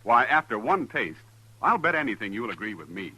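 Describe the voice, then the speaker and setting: An old radio recording of an American man announcing with emphatic salesmanship. His voice is piercingly bright, slightly rough and animated in pitch. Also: fairly quick, no room sound, poor audio. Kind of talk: 1950s radio announcing, scripted.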